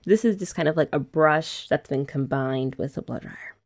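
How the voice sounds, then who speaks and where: A young woman with a lowish voice and a gravelly edge talks through an ASMR girlfriend roleplay. She explains each step in a relaxed, chatty way, with playful teasing mixed in. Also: moderate pace. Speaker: ASMR creator.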